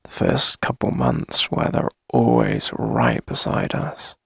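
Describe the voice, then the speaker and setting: A man playing a tender, reassuring boyfriend in an intimate ASMR roleplay, speaking softly and close to the mic with gentle, coaxing pauses. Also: huge pitch swings, no room sound, heavily acted. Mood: soft, wistful. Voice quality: husky, breathy, creaky low murmur. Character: tender, reassuring boyfriend longing to start a family. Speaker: ASMR audio roleplay performer. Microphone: close dry microphone.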